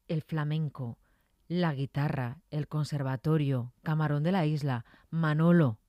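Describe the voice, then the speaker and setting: Low-voiced woman hosting a radio interview. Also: dark tone, dry recording, clean studio sound. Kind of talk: conversational interviewing. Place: radio studio.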